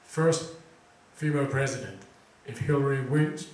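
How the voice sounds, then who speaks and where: A man's voice: mid-range voice, animated, unhurried, darkish tone. Chatty man in a very echoey room. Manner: chatty, quizzing the audience, many fillers. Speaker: event host introducing a speaker. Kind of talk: casual talk to a student audience. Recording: very echoey room recording from far off.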